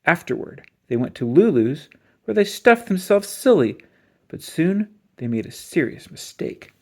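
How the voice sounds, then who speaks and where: A storybook reader giving an expressive children's read-aloud narration. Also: mid-range voice, a little slow, breathy edge.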